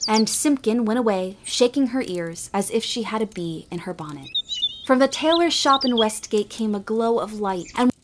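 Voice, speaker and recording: A woman narrating a Christmas storybook in a gentle, expressive storytelling manner. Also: fairly high pitch, normal speed, background noise. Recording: music behind the voice.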